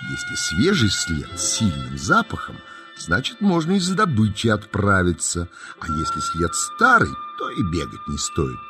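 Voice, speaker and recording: A man's voice: low voice, huge pitch swings, moderate pace, music in the background. A man narrating a children's nature tale in a folksy, dramatic storytelling style, savouring his words.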